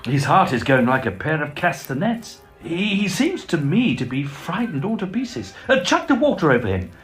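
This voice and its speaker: A man playing a brisk, blunt, level-headed young medical student in an audio drama. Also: a little high, very animated, fairly bright tone, soft background music. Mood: brisk concern. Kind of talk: acted audio drama dialogue.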